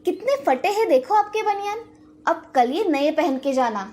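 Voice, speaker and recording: An actress playing a caring, devoted village wife and mother, speaking softly and practically in an animated moral story. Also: very high voice, normal speed.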